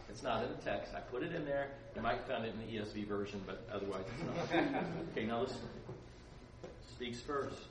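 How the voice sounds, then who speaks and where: A man preaching a lively storytelling sermon, acting out voices and laughing at his own asides. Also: medium pitch. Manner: acts out voices, laughs often, chatty asides. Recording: roomy echo, distant mic, background noise.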